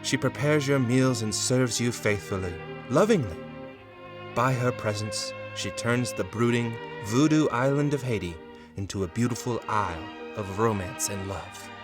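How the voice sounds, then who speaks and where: A man's voice: mid-range voice, normal speed. A man giving theatrical, suspenseful narration as a storyteller reading a vintage-style horror comic in the second person. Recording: music behind the voice.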